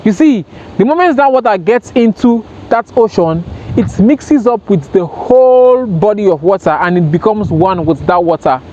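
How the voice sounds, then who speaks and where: A man's voice: sits high, expressive, normal speed, dark tone. A man speaking earnestly and emphatically in an impromptu motivational talk to camera, often repeating himself for stress. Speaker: self-help vlogger. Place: outdoors by water, sitting on a rail. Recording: dull lo-fi sound, outdoor noise.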